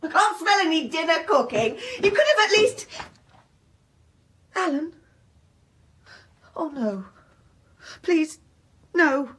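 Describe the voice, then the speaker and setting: An echoey, distant recording of a wife in a radio drama. Her voice is very high as she calls out through the house. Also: big pitch swings, slow pace, long pauses, fairly bright tone, exaggerated voice. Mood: alarmed, distraught. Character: nagging wife arriving home, calling to her husband. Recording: echoey room, off-mic, faint background noise.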